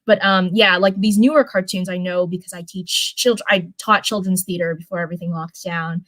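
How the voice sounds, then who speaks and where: A woman's voice: on the low side, steady tone, fairly quick, a bit bright, rough recording. A young woman hosts a casual livestream. She reads stories aloud and slips into rambling, informal commentary. She often backs up to fix what she has just said. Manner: rambling, informal, frequent self-corrections. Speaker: livestream host reading fan fiction. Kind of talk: casual livestream chat and story read-aloud.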